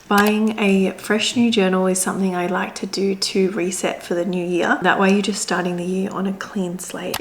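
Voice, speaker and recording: A young Australian woman with a hoarse, nearly lost voice, chatting casually to camera in a city apartment and filling her talk with "like". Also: medium pitch. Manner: chatty, with frequent "like" fillers. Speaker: lifestyle vlogger.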